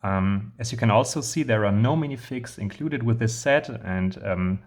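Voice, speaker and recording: A man with a hoarse, recovering voice, hosting a casual review of a building set, hesitant with many fillers but enthusiastic. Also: on the low side, moderate pace. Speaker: channel host reviewing building sets. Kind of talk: casual product review vlog.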